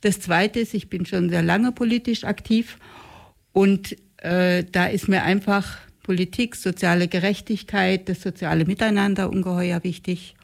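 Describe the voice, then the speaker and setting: A woman's voice: on the low side, fairly even intonation, normal speed, gravelly. A woman in her mid sixties, a grandmother and group member, who lays out her reasons point by point. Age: 60s. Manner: orderly, lists her points. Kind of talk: casual interview talk.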